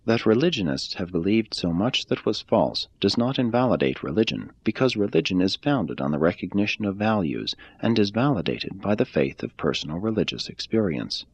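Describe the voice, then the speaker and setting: Deep, husky man reading a religious text. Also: very animated, fairly quick, few pauses, dull audio. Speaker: reader.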